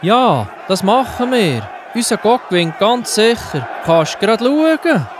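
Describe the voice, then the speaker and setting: A man's voice: sits high, very animated, slow, a crowd in the background, noisy background, exaggerated voice. A man playing a naive, cocky worshipper of a false god in a children's audio drama.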